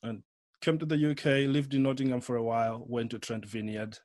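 A middle-aged man with a Malawian accent, giving a personal account as an interview guest in a thoughtful, halting way. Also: medium pitch, little pitch movement, darkish tone, dry recording. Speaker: interview guest, theology lecturer.

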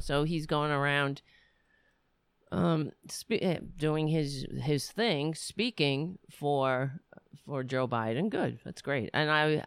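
A man with a raspy voice hosting a political commentary show, reading articles aloud and breaking into heated, sarcastic insults. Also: on the high side, fairly bright tone, dry recording, studio quality. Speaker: podcast host.

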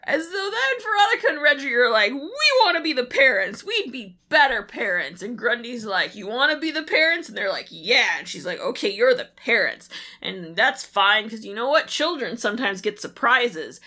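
A woman co-hosting a podcast, giving a casual, comic recap in an exasperated, animated way and swearing freely. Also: fairly high pitch, normal speed, few pauses. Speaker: podcast co-host. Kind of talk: casual comedic episode recap.

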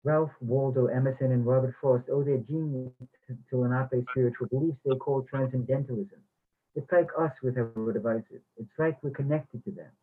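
A man reading a reflective passage and a stubborn husband's lines, calm and measured. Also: mid-range voice, natural voice. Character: stubborn husband who wanted to stay. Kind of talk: readers theatre. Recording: tinny phone-like sound.

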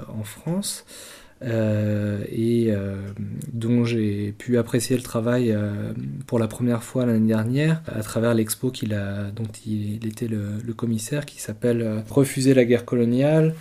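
A man's voice: medium pitch, dark tone. A man hosting a podcast interview, introducing his guest with frequent fillers. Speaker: podcast host.

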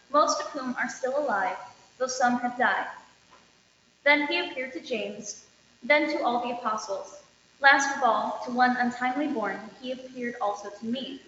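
A woman reading scripture aloud as a lector, measured and declarative, with emphatic openings to phrases. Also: fairly high pitch, sharp tone. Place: large reverberant indoor space. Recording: echoey reverberant hall, dull, faint noise.

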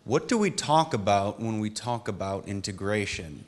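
Lower-pitched man asking a direct question. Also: lively intonation, normal speed. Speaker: audience questioner. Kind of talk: plain question at a town hall.

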